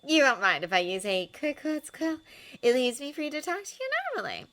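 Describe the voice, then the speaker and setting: A woman's voice: high voice, sing-song, fairly quick, fairly bright tone, pitched above the performer's own voice, heavily acted. A woman voicing a sly, pushy middle-aged female reporter with a syrupy manner.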